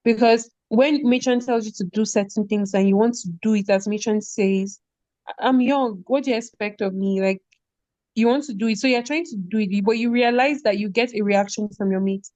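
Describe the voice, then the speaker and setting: Animated Nigerian woman telling school stories. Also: mid-range voice, moderate pace, dry recording, dull audio. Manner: animated storytelling, with frequent laughter and "do you get?". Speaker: podcast guest, former head girl. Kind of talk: casual conversational interview.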